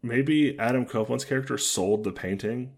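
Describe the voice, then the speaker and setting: A man co-hosting a podcast in casual comedic chat, dry and joking, with a deep voice. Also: moderate pace, a little mellow. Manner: dry, joking, riffs on bad movies. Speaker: podcast co-host.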